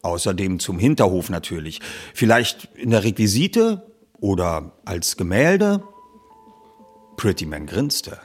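A man reading a youth detective story as narrator, in a measured, expressive storytelling way. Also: low voice, husky. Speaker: audiobook narrator. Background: music under the reading.

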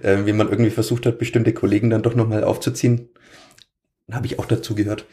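A man's voice: fairly low pitch, little pitch movement, fast talker, dark tone. A man with a Franconian accent, a stadium announcer answering interview questions in a rambling way with many fillers. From Franconia, Germany.

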